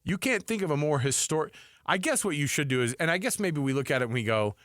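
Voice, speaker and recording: Male co-host for sports debate, mid-pitched and animated, reasoning out loud in a dry studio sound. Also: fast talker, no echo. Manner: informal, thinks aloud, poses questions to co-host. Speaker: sports talk show co-host.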